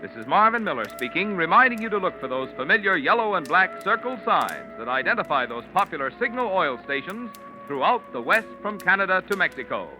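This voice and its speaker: A man's voice: sits high, sing-song, a little fast. A man reading scripted announcements in the formal, polished manner of a 1940s radio announcer. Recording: muffled vintage radio, loud music behind.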